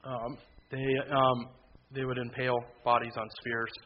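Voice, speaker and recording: A man preaching a sermon in a loud, conversational way, with sudden emphatic bursts. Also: little pitch movement, unhurried. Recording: slightly dull low-fi sound with faint background noise.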